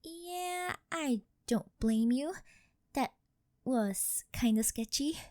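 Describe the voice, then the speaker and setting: A woman's voice: high-pitched, expressive, slow pace, a little mellow, no echo, clean studio sound. A woman playing a rich, possessive, doting older girlfriend in an ASMR roleplay, coy, cutesy and teasing as she sweet-talks.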